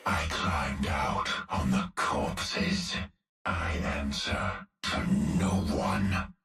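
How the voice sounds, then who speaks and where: Urgot, a gravelly masked cyborg brute. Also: male voice, a bass voice, drawn-out words, sharp tone, reverberant, distant mic, soft background music, full character voice. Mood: menacing. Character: brutal cyborg male brute, gleeful and merciless. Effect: mask filter.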